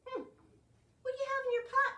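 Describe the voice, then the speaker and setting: A woman's voice: extremely high pitch, flat delivery, a little fast, bright, forceful tone, voice pushed up. A woman voicing a curious village man in a children's folk tale, musing, puzzled and helpful. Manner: musing, questioning. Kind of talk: children's storybook telling. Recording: roomy, distant camera microphone.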